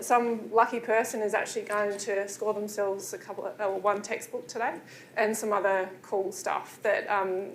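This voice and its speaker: A woman's voice: mid-range voice, slight background noise. A woman lecturing in midwifery, welcoming new students in a chatty way with many fillers. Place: lecture room. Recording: echoey room.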